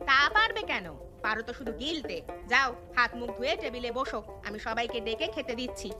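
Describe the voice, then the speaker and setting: A woman voicing a bustling, sharp-tongued but caring mother in a cartoon, with a bossy, motherly manner. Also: fairly high pitch, expressive, a little fast, natural voice. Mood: sarcastic. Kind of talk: cartoon dialogue. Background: background music.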